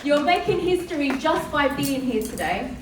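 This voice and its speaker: A chatty, upbeat woman hosting a live community event, with a fairly high voice. She sounds a little distant from the mic, in an echoey room with steady background noise. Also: moderate pace. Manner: chatty and upbeat, laughs often. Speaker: event host. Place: community event hall, indoors.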